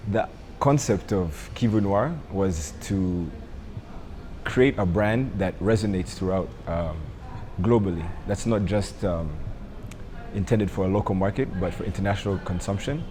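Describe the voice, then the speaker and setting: Young entrepreneur from Rwanda, deep voice, unhurried and hesitant in interview. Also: male voice, warm tone, a little hiss or hum. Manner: hesitant, many ums and uhs. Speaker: coffee entrepreneur. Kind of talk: interview answers.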